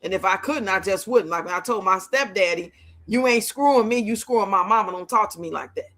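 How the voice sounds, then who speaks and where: A woman's voice: mid-range voice, brisk, fairly bright tone. A woman of fifty-nine hosting a casual livestream, chatting with viewers in a blunt, outspoken, colloquial way. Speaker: livestream host.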